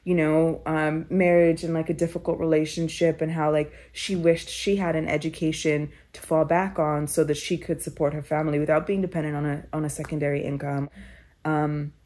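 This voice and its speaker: A young woman from Kenya with a lowish voice tells her own story in a sit-down interview. She speaks reflectively and casually, leaning on filler words as she thinks aloud. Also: level tone, a little fast, a little mellow. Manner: reflective, conversational, many "like" fillers. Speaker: storyteller guest.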